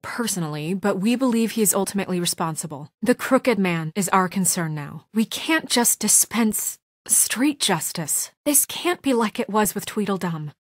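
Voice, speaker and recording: A woman voicing a poised, stern young woman in authority in a video game drama, speaking in an earnest, measured, formal way. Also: mid-range voice, lively intonation, no echo, clean studio sound, natural voice. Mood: exasperated, firm. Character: poised, stern young woman leading a troubled town government.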